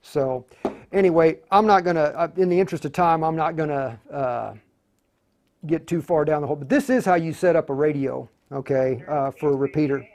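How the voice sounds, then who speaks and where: A man with a slightly high voice hosts a live hands-on tutorial. He talks in an unscripted, halting way, often muttering to himself as he works through each step. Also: darkish tone. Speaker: host of a live radio tutorial.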